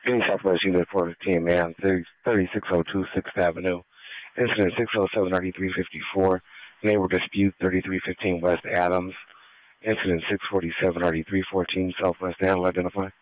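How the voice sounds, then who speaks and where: A man's voice: low-pitched, flat delivery, a little fast, no echo. A man dispatching calls in clipped procedural phrases over a police radio. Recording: muffled police scanner radio.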